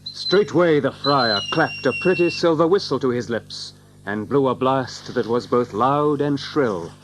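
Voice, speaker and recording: A man's voice: mid-range voice, measured pace, background noise. A man narrating an adventure audiobook in a storytelling manner with old-fashioned literary phrasing. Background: music under the narration.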